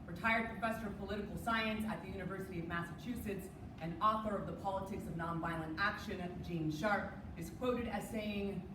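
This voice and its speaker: A woman's voice: medium pitch, fairly even intonation, a little fast. A woman delivering a rehearsed, dramatic spoken-word recitation with emphatic, declamatory phrasing. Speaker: reader's theater performer. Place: live competition performance in a room. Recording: echoey room, distant mic, noisy.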